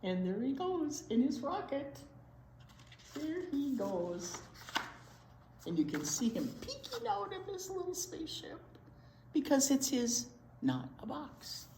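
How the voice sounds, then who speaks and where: A woman hosting a children's storytime, reading aloud in an expressive, exaggerated, playful way. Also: high-pitched, takes it slowly, long pauses. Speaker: children's storytime host and teacher. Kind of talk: read-aloud to young children. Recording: roomy echo, clear background noise.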